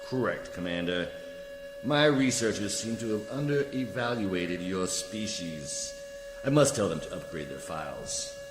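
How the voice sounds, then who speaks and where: A man's voice: lowish voice, animated, measured pace. A man acting a coolly formal, condescending alien judicial official in a science fiction radio play, speaking with precise diction. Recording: echoey hall reverb, music behind.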